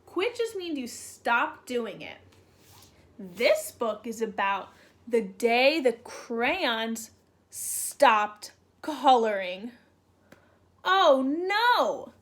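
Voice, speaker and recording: A woman's voice: high-pitched, a bit bright. A woman talking to young children as she introduces and reads a picture book, in simple, question-led, child-directed speech. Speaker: children's read-aloud teacher.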